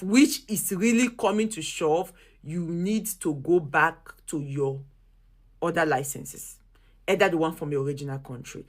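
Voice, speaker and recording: Firm, low-pitched woman, insistent. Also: fairly bright tone. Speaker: midwife. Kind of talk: personal talk to camera.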